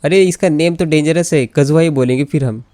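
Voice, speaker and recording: Very fast-talking Indian man, mellow voice. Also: fairly high pitch. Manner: informal, chuckling, mixes in English slang. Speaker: gaming video host. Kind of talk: casual gameplay commentary. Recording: faint game music behind the voice.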